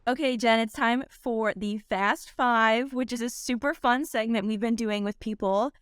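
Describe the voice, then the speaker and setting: A woman's voice: fairly high pitch, clear, resonant tone, no room sound, studio quality. Cheerful young woman interviewer. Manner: cheerful, brisk, question-reading. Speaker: interview host. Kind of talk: friendly interviewer reading questions.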